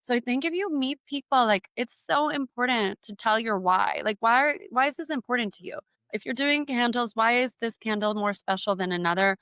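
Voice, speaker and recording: A woman's voice: on the high side, a little fast, a bit bright, smooth, dry recording. A young woman, a business guest, telling candid stories and giving advice with many casual fillers. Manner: candid storyteller, frequent "like" fillers. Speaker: guest entrepreneur. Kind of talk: interview conversation.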